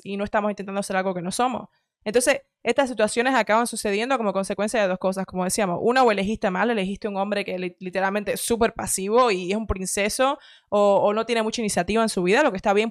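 A woman in her thirties with a light Cuban accent, giving quick, chatty, opinionated commentary into a studio mic at home. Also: mid-range voice, no echo. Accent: light Cuban Spanish. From Cuba. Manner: quick, chatty, opinionated, frequent self-corrections. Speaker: host reacting to clips. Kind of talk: casual commentary. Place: home studio room with shelves. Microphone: headset with studio mic on arm.

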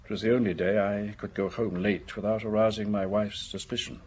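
Husky, hesitant, lonely gentleman. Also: lowish voice, steady tone. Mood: earnest reminiscence. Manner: hesitant, formal, halting. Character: lonely, anxious, respectable married office man, secret lover. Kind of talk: radio drama acting.

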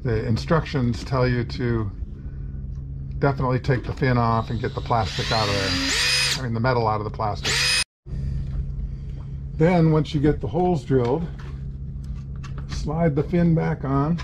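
A man's voice: mid-range voice, measured pace, pauses often, background noise. A man in his sixties giving an informal, plain-spoken narration as a vlog host, explaining things step by step. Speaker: sailing vlogger and host. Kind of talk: informal narrated travel tour and how-to.

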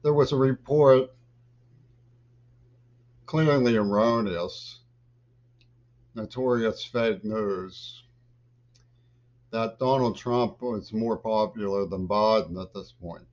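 An older man in a small home office talks to his webcam in a rambling political monologue, jumping between loud bursts and low mutters. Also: slow pace, long pauses. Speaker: vlogger. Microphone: webcam at desk. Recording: dull lo-fi webcam audio.

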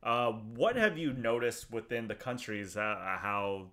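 Easygoing bright-voiced male host. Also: mid-range voice, measured pace. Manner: relaxed, easygoing, laughs often. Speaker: podcast host. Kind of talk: casual sports chat. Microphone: headset.

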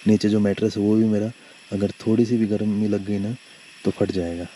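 A man with a lowish voice narrates a camping trip, talking chattily to his viewers as brothers. He speaks from a campsite at night and later from inside a tent. Faint noise sits under the voice. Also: level tone, fairly quick, mellow. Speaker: travel vlogger. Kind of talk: casual vlog narration to viewers.

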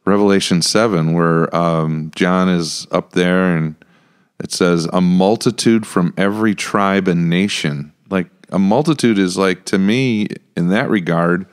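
A man with a low American voice chats as a podcast host. He thinks out loud, wandering through his points in a casual discussion, close to a studio microphone. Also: unhurried, smooth. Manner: rambling, thinking aloud. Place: small podcast room with acoustic panels. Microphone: close studio mic on stand.

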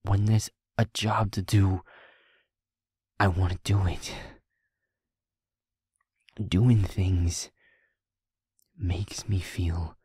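Casting: male actor as driven young werewolf boyfriend for audio roleplay. Low, very breathy, slow, earnest and wounded. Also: fairly even intonation, a little mellow, no echo, clean studio sound, heavily acted. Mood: tender, earnest. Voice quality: husky, breathy, often near whisper. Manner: halting, earnest, emotionally strained. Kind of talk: intimate audio roleplay acting.

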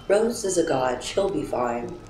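A woman voicing a dry, formal, sarcastic alien woman in a fan dub, speaking precisely and with deadpan exasperation. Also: sits low, a little slow. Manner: precise, deadpan, stilted wording. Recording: roomy echo, clear background noise.

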